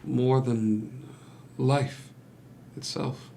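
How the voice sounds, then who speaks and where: A man playing an outwardly modest, ingratiating gentleman with a halting, measured manner in a musical drama reading. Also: mid-range voice, steady tone, gravelly, faint music behind. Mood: humble, grateful. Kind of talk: musical table read acting.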